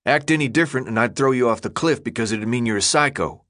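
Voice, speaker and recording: A man voicing a gruff, impatient teenage boy leader with blunt, slangy speech in an audiobook. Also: medium pitch, fast talker, fairly bright tone, close to an everyday voice. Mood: gruff, exasperated. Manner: blunt, slangy, clipped commands. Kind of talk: audiobook character dialogue.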